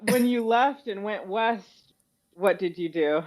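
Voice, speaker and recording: A woman's voice: mid-range voice, slow, a little mellow. A woman hosting a podcast, interviewing a guest in a conversational style.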